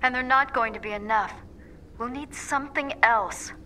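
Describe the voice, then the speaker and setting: A woman's voice: fairly high pitch, moderate pace, bright, forceful tone, slight background noise, low-quality recording. An actress playing a resourceful, businesslike female flight controller in a space audio drama.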